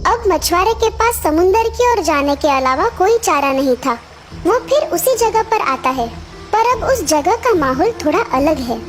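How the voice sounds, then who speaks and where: A woman's voice: very high-pitched, big pitch swings, unhurried. A woman narrating a scripted children's story. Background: music.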